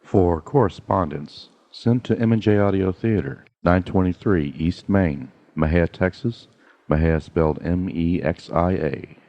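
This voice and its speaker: A male announcer reads scripted information in a low voice with a mellow, dark edge. The recording is dull and lo-fi. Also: a little slow. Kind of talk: scripted mailing-address announcement.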